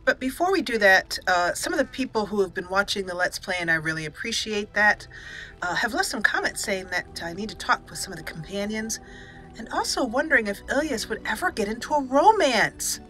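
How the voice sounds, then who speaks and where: Woman, bright voice, chatty gamer host, casual commentary, faint music behind. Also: medium pitch, very animated, fairly quick, poor audio. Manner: giggly, chatty. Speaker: YouTube host doing a roleplay let's play. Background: video game music.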